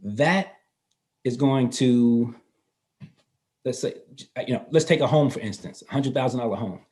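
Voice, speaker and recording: A man in his fifties, a guest in a casual interview, explaining finance in a relaxed way and laughing easily. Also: medium pitch, unhurried. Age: 50s. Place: North Carolina.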